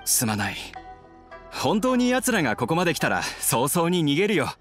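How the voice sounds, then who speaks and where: A man playing a troubled, regretful, soft-spoken young fugitive in an anime game. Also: on the high side, huge pitch swings, normal speed, faint music behind. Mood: earnest, apologetic.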